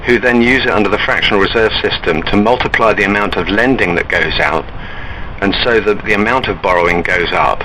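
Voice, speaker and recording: A man calling an enquiry line and arguing his points in an earnest, insistent, halting way. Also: fairly low pitch, bright, forceful tone. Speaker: caller to a bank enquiry line. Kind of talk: argumentative phone discussion. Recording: recorded phone call with background noise.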